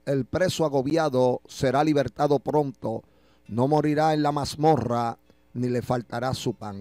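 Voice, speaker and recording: A man's voice: on the high side, a little mellow, dry recording. A middle-aged man with a Puerto Rican accent giving a personal religious testimony, often adding little tags that seek agreement, close to a studio microphone. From Puerto Rico. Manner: frequent verbal tags seeking agreement. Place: small radio studio. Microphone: close broadcast microphone with headphones.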